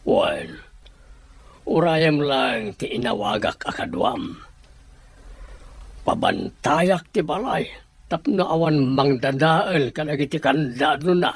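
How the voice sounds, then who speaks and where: A man with a Filipino accent playing a stern, wary household master in a radio drama, commanding and measured. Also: medium pitch, lightly acted.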